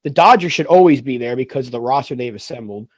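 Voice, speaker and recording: A man's voice: mid-range voice, lively intonation, quick pace, no echo. Man losing his voice, dry call audio. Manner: firm opinions, apologetic. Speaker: podcast co-host. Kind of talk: casual sports chat. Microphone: video call.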